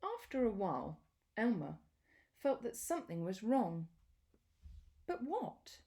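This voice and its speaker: A woman reading a children's picture book aloud in a deliberate, expressive storytelling manner with pauses. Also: medium pitch.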